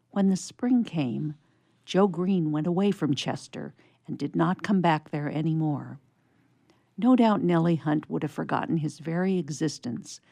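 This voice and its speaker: A woman narrating a scripted story for radio theatre in a measured storytelling manner. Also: low voice, gravelly, warm tone, no echo.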